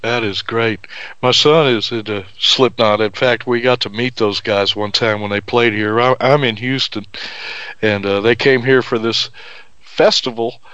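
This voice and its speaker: A man's voice: mid-range voice, steady tone, unhurried, fairly bright tone, smooth, dry recording. A middle-aged man co-hosting a radio interview, chatting in a friendly way. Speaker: radio co-host. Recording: dull lo-fi radio sound.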